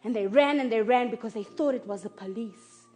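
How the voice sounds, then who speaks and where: A woman playing a shaken child who tells a story in an earnest, halting, repetitive and emotionally intense dramatic monologue. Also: on the high side, darkish tone, faint music behind, lightly acted. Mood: hushed, uneasy. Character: shaken child earnestly recounting a violent night at home.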